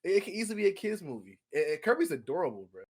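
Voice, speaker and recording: A young American man co-hosting a podcast in casual, slangy group chat, telling things in an animated storytelling way. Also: high voice, very fast, slightly rough. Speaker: podcast co-host.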